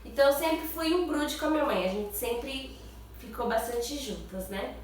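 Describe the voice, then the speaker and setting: A woman's voice: a little high, moderate pace, slight background noise. A Brazilian woman vlogger with a nasal, stuffy voice from a cold, chatting casually to her viewers and often adding little tag questions. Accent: Brazilian Portuguese. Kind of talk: casual chat to camera. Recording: very echoey room, far from mic.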